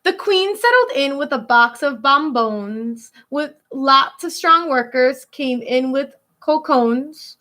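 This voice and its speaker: A woman with a high, lively voice reads a children's picture book like a schoolteacher. She takes her time, stretching key words and stopping to explain them. The delivery is expressive and clearly aimed at young listeners. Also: takes it slowly, few pauses. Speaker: elementary school teacher reading a picture book. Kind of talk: children's read-aloud with explanatory asides.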